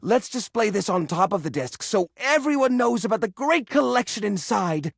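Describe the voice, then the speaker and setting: Quirky, animated junk-drawer hoarder. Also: male voice, sits high, moderate pace, vocal fry, no room sound, clean studio sound, exaggerated voice. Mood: delighted, inspired. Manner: expressive, earnest, fussy musing. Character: quirky, sentimental male junk drawer personified, fussy hoarder. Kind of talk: video game character acting.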